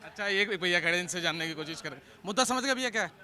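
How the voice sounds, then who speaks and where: Male vox pop reporter, high and very bright voice, pressing with questions outdoors. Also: animated, fast. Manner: probing with repeated follow-up questions. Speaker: street reporter. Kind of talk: vox pop interviewing in casual Hindi. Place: outdoors on a street. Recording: outdoor street recording.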